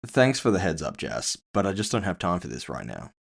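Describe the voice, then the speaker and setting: A man's voice: low-pitched, very animated, a little fast, creaky, exaggerated voice. A man playing a weary, dry-witted investigator in a cyberpunk audio story, with a sardonic manner. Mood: weary, resolved. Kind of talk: first-person audio fiction dialogue.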